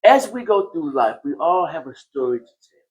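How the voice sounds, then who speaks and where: A male podcast host with a fairly high voice that swings widely in pitch. He talks casually and conversationally, circling back over his phrases with lively, easygoing energy. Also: normal speed, lo-fi sound. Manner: animated, repeats phrases, laughs often.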